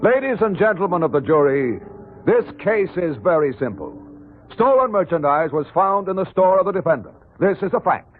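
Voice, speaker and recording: An actor in a 1940s radio drama playing a relentless, sarcastic prosecutor, oratorical and insistent, on a muffled old recording. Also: male voice, sits high, animated, normal speed. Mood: forceful, oratorical. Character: relentless, sarcastic prosecutor pressing his case.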